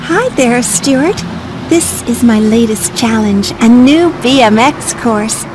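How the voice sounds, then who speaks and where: A helpful little girl bird from a video game, with a fairly high voice. She gives friendly, clear instructions over faint ambience. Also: animated, takes it slowly, noisy background. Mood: friendly, instructive. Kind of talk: scripted game tutorial dialogue.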